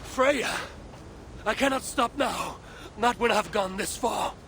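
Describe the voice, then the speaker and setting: A proud warrior god with a fairly high, gravelly voice. He declaims solemnly and stubbornly in video game drama style, with noisy ambience behind him. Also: slow, full character voice. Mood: insistent. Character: proud, obsessive warrior god seeking his lost son. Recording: loud background noise of game ambience.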